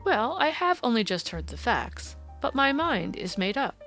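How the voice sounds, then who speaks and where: A woman voicing a confident, amused gentleman detective who speaks precisely and teasingly in an audiobook story. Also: on the high side, sing-song, a little slow, faint music behind, exaggerated voice.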